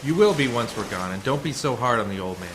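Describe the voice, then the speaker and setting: A man playing a calm, assured undercover rescuer in a sci-fi radio play, speaking in a measured, explanatory way. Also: medium pitch, close to an everyday voice. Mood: reassuring, matter-of-fact. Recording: noisy community radio drama recording.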